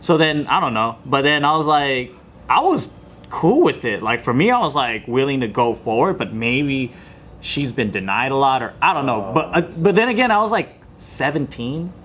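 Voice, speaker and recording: A man's voice: medium pitch, sing-song, moderate pace, fairly bright tone, slight background noise. A man in his thirties telling a story in casual group chat on a podcast, laid-back, with many fillers. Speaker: podcast co-host.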